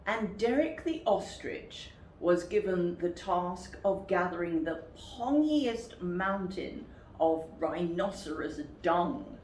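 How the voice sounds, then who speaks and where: A woman reading a picture book aloud to children in an expressive, deliberate way. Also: fairly low pitch. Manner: expressive, deliberate, asks listeners questions. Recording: roomy, distant, noisy recording.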